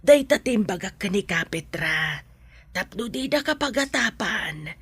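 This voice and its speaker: A woman's voice: mid-range voice, big pitch swings, a little slow, slightly rough, no echo, heavily acted. A woman with an Ilocano accent playing a protective, worried mother of a grown child in a radio drama.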